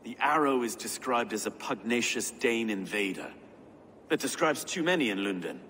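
An actor playing an earnest, anxious medieval official in a game cutscene, speaking expressively and eloquently. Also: male voice, mid-range voice, lively intonation, normal speed, a bit bright, natural voice. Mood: sombre. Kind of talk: dramatic game cutscene acting. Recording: reverberant hall, faint ambient noise.

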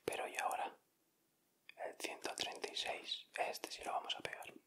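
A man's voice: normal speed, pushed and bright. A young man with a Castilian Spanish accent whispering in ASMR style as a host, addressing his viewers directly and without hurry.

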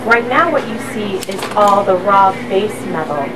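A woman's voice: medium pitch, moderate pace. A woman explaining things step by step as a factory tour guide. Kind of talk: explanatory walk-through tour. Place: busy factory floor. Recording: factory noise, somewhat distant mic. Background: machinery and workshop noise.

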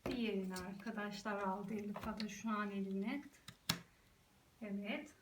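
A woman's voice: medium pitch, steady tone, moderate pace. A woman talking as a mother guiding her young child through play, giving short encouraging prompts and addressing viewers casually. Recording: roomy, distant mic, clear background noise.

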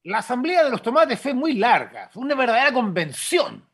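A man with a husky voice speaks on a video call. He is improvising as a participant in a radio play workshop. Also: extremely high pitch, wildly swooping pitch, normal speed, a bit bright.

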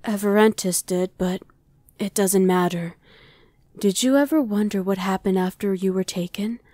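Woman voicing a mellow, guarded demon. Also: medium pitch, moderate pace, no echo, natural voice. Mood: hesitant, probing. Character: curt female demon, guarded and disdainful of underlings. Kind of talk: ASMR roleplay audio drama.

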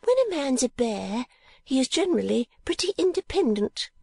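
Woman doing a chatty older lady painter, high and gossipy, swooping pitch, breathy. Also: big pitch swings, normal speed, a little mellow, no room sound, exaggerated voice. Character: chatty shrewd older female miniature painter.

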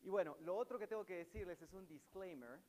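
A man's voice: sits high, fast talker, a little mellow, big-room sound. A middle-aged Peruvian man with a mixed Peruvian accent, giving a conversational conference talk with casual anecdotes and frequent fillers. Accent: Peruvian Spanish, mixed with Mexican. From Peru. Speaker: conference speaker. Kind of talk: academic talk.

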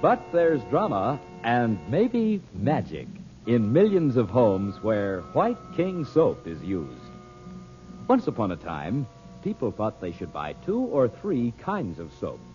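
A man's voice: mid-range voice, wildly swooping pitch, slow pace, mellow, faint music behind. A man reading a 1940s radio advertisement as the show's announcer. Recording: dull old radio recording.

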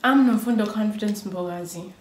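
A woman's voice: mid-range voice, brisk, a little mellow, echoey room, a little hiss or hum. A South African woman in her early twenties, talking playfully and laughing during a casual chat. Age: early 20s. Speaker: YouTube vlogger. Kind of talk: casual get-to-know-us chat. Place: small indoor room.

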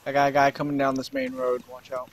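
A man's voice: mid-range voice, monotone, fast, a little hiss or hum. A man casually chatting with a co-op partner as he plays a game, offhand and mildly profane. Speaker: gaming channel player. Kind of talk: casual co-op gameplay chat. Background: game music and sound effects.

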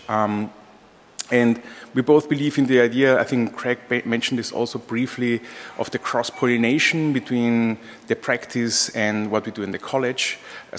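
A man's voice: mid-range voice, a little hiss or hum. A man in his forties from Vienna with an Austrian accent, an architecture professor giving an informal lecture at a lectern, phrasing things hesitantly. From Austria, raised in Vienna. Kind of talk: lecture to an audience. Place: large room, indoors, at a lectern. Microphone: gooseneck lectern microphone. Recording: reverberant lecture hall.

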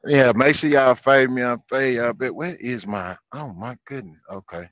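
A man, talking in a relaxed, easygoing way as a streamer who drives a truck. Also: mid-range voice, normal speed, dry recording, highs cut off. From Houston, Texas. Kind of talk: casual chat.

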